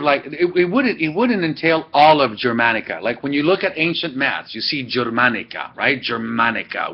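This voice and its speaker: A man lecturing a class, rambling and correcting himself as he goes, recorded by a webcam. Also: mid-range voice. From Sweden. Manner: rambling, digressive, self-correcting. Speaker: teacher. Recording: dull lo-fi webcam audio.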